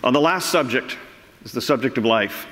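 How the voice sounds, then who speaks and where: A man's voice: medium pitch, animated, moderate pace, a bit bright. Preaching man in a reverberant hall. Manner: emphatic preaching, reading quotes aloud. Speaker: pastor. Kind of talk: sermon. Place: large church sanctuary.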